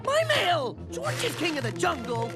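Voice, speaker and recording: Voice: very high-pitched, wildly swooping pitch, normal speed, exaggerated voice. An actor playing a childlike, boastful, dim-witted jungle man in a cartoon, speaking naively. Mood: indignant. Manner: naive, speaks of himself in third person. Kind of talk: exaggerated cartoon voice acting. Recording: music behind the voice.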